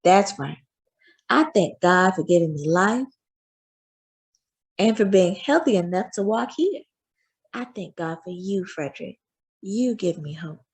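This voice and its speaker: Woman as a gentle, expressive, husky-voiced storybook mother. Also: medium pitch, a little slow, long pauses. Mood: grateful, tender. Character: loving, hopeful enslaved mother comforting her young son. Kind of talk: storybook character voice.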